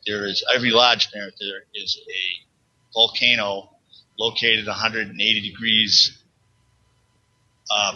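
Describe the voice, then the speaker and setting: Rambling Boston man, husky and bright. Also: lowish voice. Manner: rambling, halting explanations full of restarts. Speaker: guest researcher. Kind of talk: casual interview talk. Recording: dull lo-fi sound.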